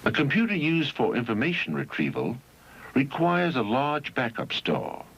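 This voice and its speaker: A man reading scripted educational film narration in a measured, didactic way with deliberate phrasing, on a 1971 film soundtrack. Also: mid-range voice, wildly swooping pitch, creaky, a bit bright, slightly rough, highs cut off.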